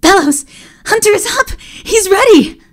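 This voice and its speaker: A woman voicing an eager, fearful young male servant who cries out and begs in a high, strained voice. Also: lively intonation, normal speed. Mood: excited. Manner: high-pitched pleading and crying out.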